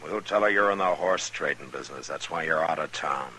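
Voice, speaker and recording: An actor playing a steady, plain-spoken frontier marshal in an old-time radio western, firm and reassuring. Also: male voice, very deep voice, brisk, breathy edge, exaggerated voice. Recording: hissy old radio recording.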